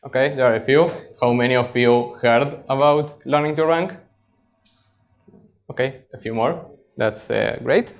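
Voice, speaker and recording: Male speaker, 30s, Argentine Spanish accent, native Spanish. Data scientist presenting at a meetup, mid-range voice, explanatory, many pauses. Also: medium pitch, creaky. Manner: halting, frequent pauses, plain explanatory. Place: meetup stage before an audience.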